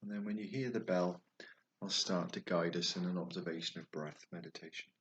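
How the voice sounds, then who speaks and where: A man guiding a meditation, speaking slowly and softly, at times dropping toward a whisper. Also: sits low, steady tone, muffled recording. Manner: slow, soft and soothing, trailing off toward a whisper. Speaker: meditation guide. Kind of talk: guided meditation.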